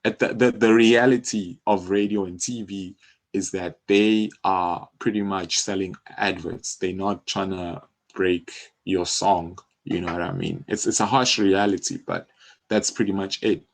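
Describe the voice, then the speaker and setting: Deep-voiced South African man. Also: steady tone, measured pace. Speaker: music publishing company co-founder, interview guest. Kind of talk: casual conversational interview.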